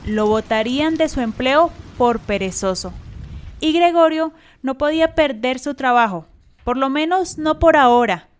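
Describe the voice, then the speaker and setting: A woman's voice: high voice, lively intonation, moderate pace. A woman giving scripted narration for a radio drama, with rain-like hiss.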